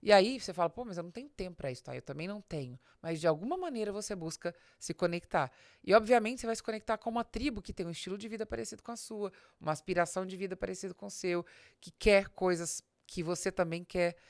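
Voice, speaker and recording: A woman's voice: mid-range voice, fast talker, no echo, studio quality. A Brazilian woman hosting a podcast, giving informal business advice in a didactic, emphatic way, speaking straight to the listener. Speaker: podcast host and business strategist.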